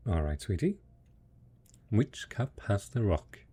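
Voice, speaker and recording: Man acting slow, husky, devoted apocalypse husband. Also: on the low side, big pitch swings, mellow, heavily acted. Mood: gentle, coaxing. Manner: slow, coaxing, hesitant, talking as if to a child. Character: devoted, patient husband tending zombie spouse after apocalypse. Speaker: audio roleplay voice actor. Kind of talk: intimate scripted audio roleplay.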